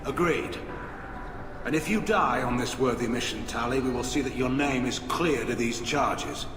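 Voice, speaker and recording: A man's voice: medium pitch, moderate pace, noisy background, close to an everyday voice. Man playing blunt alien admiral, echoing. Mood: grave. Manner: blunt, grave. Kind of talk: video game cutscene acting. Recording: echoey hall, music underneath.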